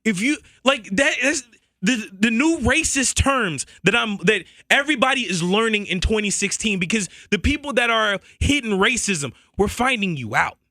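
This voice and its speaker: A man's voice: high-pitched, expressive, normal speed, sharp tone, dry recording. A man from the Southern US, co-hosting a radio show and speaking heatedly and emphatically in a studio. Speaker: radio co-host. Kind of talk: impassioned radio talk. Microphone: studio boom mic.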